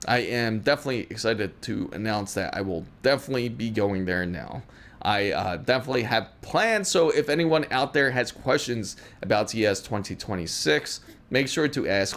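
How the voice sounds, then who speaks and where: Guy doing a tech livestream, chatty, voice bounces up and down a lot, lots of uhs. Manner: chatty, reads viewer questions aloud, many fillers and restarts; lively pitch. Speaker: tech livestream host answering chat questions. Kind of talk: casual live-stream chat.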